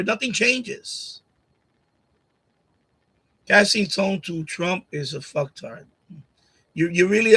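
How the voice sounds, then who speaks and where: A man's voice: high-pitched, bright, forceful tone, husky, rough recording. A man from Puerto Rico hosting a casual live stream, arguing bluntly with commenters, repeating himself and laughing often. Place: home streaming setup. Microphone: USB condenser mic on boom arm.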